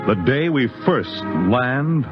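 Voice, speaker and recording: An actor as a solemn, dramatic announcer of science fiction tales in 1950s radio style. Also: male voice, mid-range voice, lively intonation, slow pace. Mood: ominous, solemn. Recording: muffled old radio broadcast with loud music.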